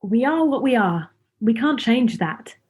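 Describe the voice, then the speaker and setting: A teasing young woman in a radio play, played by an actress. Her voice sits fairly high with a husky grain, and she talks in a natural, brisk, blunt way. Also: animated, darkish tone. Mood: fond.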